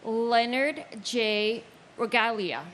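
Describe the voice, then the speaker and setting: Woman with a slightly high voice, reading names very slowly and deliberately at a memorial. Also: fairly bright tone, a little hiss or hum. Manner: very slow, deliberate and solemn. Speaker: name reader. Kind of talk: memorial ceremony name reading.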